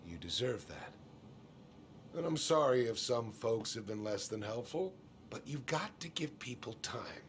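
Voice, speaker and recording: An actor playing an evasive, folksy middle-aged small-town store boss, speaking hesitantly in naturalistic game dialogue. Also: male voice, mid-range voice, very animated, unhurried, slight background noise. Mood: apologetic.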